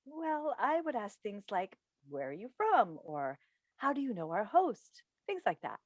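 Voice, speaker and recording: A woman playing a defensive daytime talk-show host and mother in a staged play reading, with a halting, earnest delivery. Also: medium pitch, very animated, no room sound, dull audio. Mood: polite, unsure. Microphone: video call microphone.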